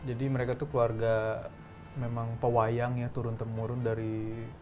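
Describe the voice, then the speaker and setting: Man, actor guest, casual interview, easygoing, hesitant pauses, mid-pitched voice. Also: soft background music. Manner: relaxed, thoughtful with frequent fillers.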